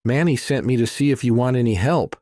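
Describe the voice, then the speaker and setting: Man acting out breezy metalhead woman, audiobook character, teasing, slangy, raised pitch, slightly rough voice. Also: fairly quick, a little mellow, dry recording, studio quality, lightly acted. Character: playful, slangy woman who loves metal music.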